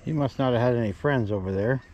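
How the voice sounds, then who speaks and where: A man talks casually through his fishing as a vlogger. His voice is dark and relaxed, sitting at an average pitch. He is recorded outdoors on a body-worn camera. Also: fast talker, dull audio. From Canada. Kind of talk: casual running commentary while fishing. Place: outdoors at a small pond bank. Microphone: body-worn action camera.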